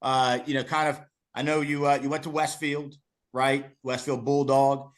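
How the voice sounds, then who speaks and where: A man's voice: mid-range voice, level tone, normal speed. Rambling male podcast co-host. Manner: rambling, repeats words and restarts. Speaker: podcast co-host, former PE teacher. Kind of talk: casual interview.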